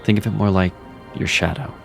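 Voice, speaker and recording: A man's voice: low-pitched, steady tone, a little fast, creaky, soft background music. A man voicing a cryptic male mage mentor in an audio drama, easygoing and teasing, often chuckling as he talks. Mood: reassuring.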